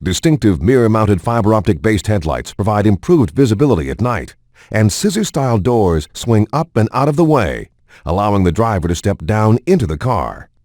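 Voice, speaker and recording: Deep-voiced man narrating a car showcase. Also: sing-song, moderate pace, a little mellow, no echo, studio quality. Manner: smooth polished announcer delivery. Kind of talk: scripted promotional narration. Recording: game video narration with faint background music.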